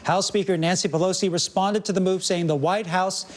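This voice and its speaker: A man anchoring a morning news broadcast, reading stories and tossing to reporters in a brisk, authoritative way. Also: high voice, steady tone.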